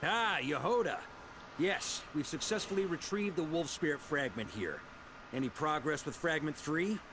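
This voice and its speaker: Game knight commander: easygoing but decisive, animated mid-range voice, noticeable background noise. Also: male voice, a little high, normal speed. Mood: brisk, commanding. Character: confident easygoing adult male knight commander.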